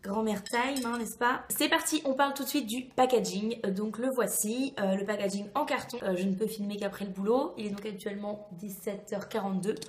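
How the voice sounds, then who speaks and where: A woman with a hoarse voice recovering voice loss, chatting enthusiastically as she reviews a beauty product to camera. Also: mid-range voice, brisk, few pauses, a little hiss or hum. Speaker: beauty vlogger. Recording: echoey, reverberant room.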